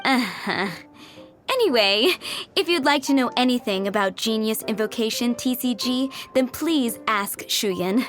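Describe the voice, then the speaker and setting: A woman's voice: fairly high pitch, lively intonation, measured pace, a bit bright, faint music behind. A woman voicing a cheerful, breezy adult tavern owner who bosses her staff around, in a game. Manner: breezy, upbeat, firm.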